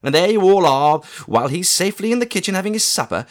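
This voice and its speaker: A man's voice: sits high, animated, voice pushed up. A man reading an audiobook, voicing a bossy, proud middle-aged aunt with a scolding, emphatic delivery. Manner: scolding, emphatic, slow stressed words.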